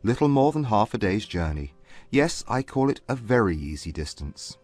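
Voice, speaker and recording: Man acting a low-voiced, proud gentleman. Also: very animated, dry recording, faint music behind. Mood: polite, probing. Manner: formal, measured. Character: Mister Darcy, proud reserved English gentleman. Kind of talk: dramatized audiobook acting.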